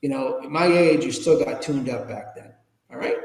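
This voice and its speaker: A man in his fifties or older telling personal stories conversationally as an interview guest, informal and animated, often asking rhetorical questions. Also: mid-range voice, moderate pace. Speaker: interview guest, public speaker. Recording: echoey room with long reverb.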